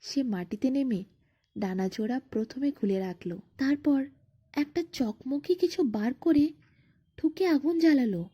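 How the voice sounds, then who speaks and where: A woman tells a bedtime fairy tale in a high, husky voice. She takes it slowly, phrase by phrase, with dramatic pauses, on a slightly muffled recording. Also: expressive, dark tone. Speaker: storyteller. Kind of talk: expressive scripted bedtime-story narration.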